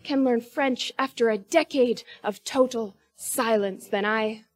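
Woman in her 20s, spoken word poet; high, rhythmic, emphatic. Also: a little slow. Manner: rhythmic, emphatic delivery with sharp rises in pitch and force. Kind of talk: spoken word poetry performance.

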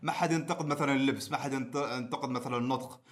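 Fast-talking Omani man. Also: medium pitch, steady tone. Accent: Omani Arabic. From Oman. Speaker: podcast co-host. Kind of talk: casual chat and debate.

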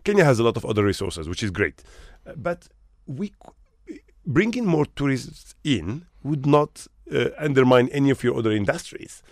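A man's voice: medium pitch, very animated, moderate pace, few pauses, no room sound, studio quality. A man from Morocco who manages hotels, talking as an interview guest with emphatic rhetorical questions.

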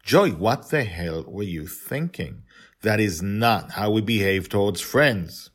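A man's voice: on the low side, animated, takes it slowly. A man playing an earnest father in a storybook tale, stern but loving toward his young daughter. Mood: angry, scolding. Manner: earnest, parental.

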